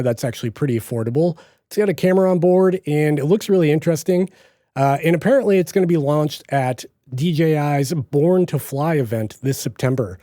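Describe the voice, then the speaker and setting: A man's voice: fairly high pitch, warm tone, dry recording, clean studio sound. A young man with a slight Boston accent, hosting a solo podcast in a chatty, rambling way with frequent self-corrections. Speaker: podcast host. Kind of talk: casual solo podcast monologue.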